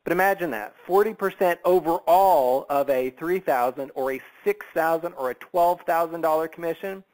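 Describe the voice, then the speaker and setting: A man presenting an enthusiastic business sales pitch in a persuasive, emphatic and conversational way, tossing in rhetorical questions. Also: moderate pace, hardly any pauses, a little mellow, low-quality recording. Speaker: sales presenter on a business opportunity conference call.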